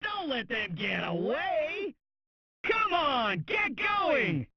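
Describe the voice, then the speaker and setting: An actor voicing an excited, brash arcade racing game announcer in punchy, exclamatory short phrases, on a muffled game recording. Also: high voice, extremely slow, exaggerated voice. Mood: urgent cheering. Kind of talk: video game race announcer voice clips. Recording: muffled, lo-fi game sound rip.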